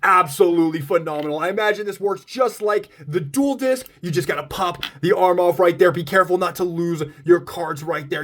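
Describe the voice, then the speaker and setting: High-pitched, excitable man reviewing toys. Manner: gushing, excitable, exclaims often; informal, repetitive step-by-step demonstrating. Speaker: toy review video host. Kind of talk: casual unboxing and review commentary.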